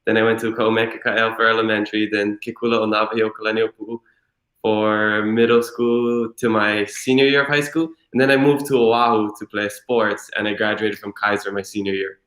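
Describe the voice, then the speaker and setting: A man in his twenties from Hilo, Hawaii, a guest telling his story in a relaxed, rambling way full of "you know" and "like". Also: lowish voice, brisk, echoey room, low-quality recording. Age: 20s. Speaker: interview guest. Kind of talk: casual conversational storytelling.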